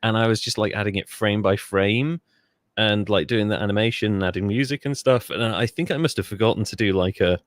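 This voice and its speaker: Male podcast host, low voice, dry mic, rambling and self-interrupting. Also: fairly quick, no room sound. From England. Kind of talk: casual podcast chat.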